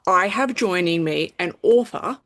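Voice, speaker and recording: A woman hosting an interview, friendly and brisk as she moves the conversation along. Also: on the low side, expressive, fairly bright tone. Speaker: interview host.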